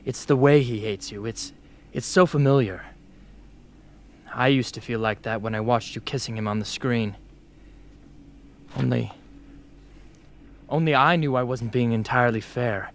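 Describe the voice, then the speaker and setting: A man playing a loyal, earnest young friend in an amateur radio drama, with a somewhat halting delivery. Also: medium pitch, close to an everyday voice.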